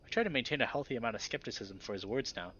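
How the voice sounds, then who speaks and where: A man reading a visual novel aloud and commenting casually as a video host, expressive when he reacts. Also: mid-range voice, racing, slightly rough. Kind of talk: casual let's play commentary and narration. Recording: slightly dull recording with background music. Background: game music.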